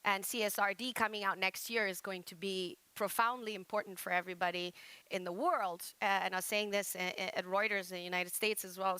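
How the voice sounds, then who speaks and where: Woman from Malaysia, conference speaker, forceful voice, dry close sound, filler-heavy delivery. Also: medium pitch, clear, resonant tone, dry recording. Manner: fast, jargon-heavy, many fillers and restarts. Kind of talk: conference keynote presentation.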